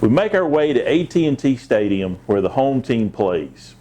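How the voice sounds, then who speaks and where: A man's voice: mid-range voice, big pitch swings, normal speed, mellow. A man reading a children's picture book aloud, with short asides of his own. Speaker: assistant police chief, guest storybook reader.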